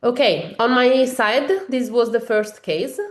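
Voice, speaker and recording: A woman with an Italian accent, a native Italian speaker, walking through a software demo in a webinar and explaining each step, with frequent fillers and restarts. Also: a little high, measured pace, reverberant. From Italy. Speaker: software trainer.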